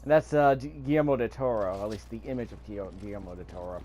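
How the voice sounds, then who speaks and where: A man's voice: on the low side, lively intonation, fast talker, mellow, dull audio, a little hiss or hum. A man giving casual, offhand let's play commentary, muttering to himself as he plays. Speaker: video game player recording commentary. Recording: game sound under the voice. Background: video game ambience.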